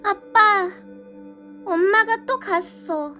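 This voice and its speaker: A woman voicing an innocent, eerie little girl with slow, childlike phrasing in a dramatized horror story. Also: very high voice, pushed and bright, soft background music, full character voice. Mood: plaintive.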